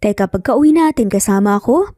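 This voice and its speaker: A woman's voice: medium pitch, expressive, fairly quick, dark tone, no room sound, clean studio sound, soft background music, natural voice. A woman voicing a modest, proper young secretary and mother, polite and questioning, in a dramatised story. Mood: puzzled.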